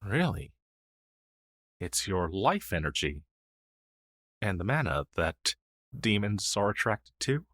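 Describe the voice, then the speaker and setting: A man plays a gentle old male crow familiar, a wistful rogue demon in a fantasy ASMR roleplay. His voice is low and he talks very slowly, halting and sighing softly. The sound is dry and intimate. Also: big pitch swings, no echo, full character voice. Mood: intrigued. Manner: hesitant, halting, soft-spoken with sighs.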